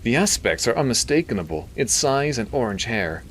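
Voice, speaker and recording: Man putting on a nasal, higher-pitched, fussy scientist. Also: very animated, normal speed, fairly bright tone, a little hiss or hum. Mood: astonished, explaining. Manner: affected, faintly British, weary. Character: fussy, disinterested male scientist with British pretensions. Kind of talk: audiobook character dialogue.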